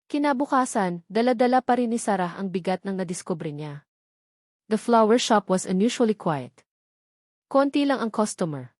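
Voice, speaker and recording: A woman reads a scripted romantic drama as its narrator. Her storytelling is earnest and emotive, pitched in the middle range. She is recorded close, with a very dry sound. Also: darkish tone, no room sound. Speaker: audiobook narrator.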